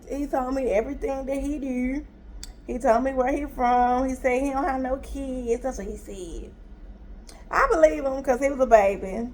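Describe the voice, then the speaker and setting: A woman's voice: on the high side, slight background noise. A woman casually telling a story, acting out both sides of a conversation with laughter and exasperation. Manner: animated retelling, acts out both sides with quoted dialogue.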